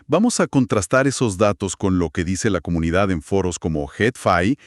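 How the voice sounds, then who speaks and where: Male podcast co-host, lowish voice, animated swings in pitch, dry close sound, explanatory delivery. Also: normal speed, dry recording. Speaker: co-host of an audio gear review discussion. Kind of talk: conversational podcast-style explainer.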